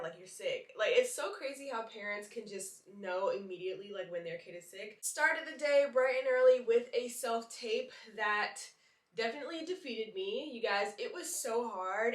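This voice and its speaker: A young woman with a somewhat high, nasal voice from a cold, chatting to her vlog camera and dropping "like" all through. She sounds a little distant from the mic, and her voice rings in an echoey room. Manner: chatty, leans on "like" fillers. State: congested from a cold. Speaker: vlogger and model. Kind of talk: casual chat to camera.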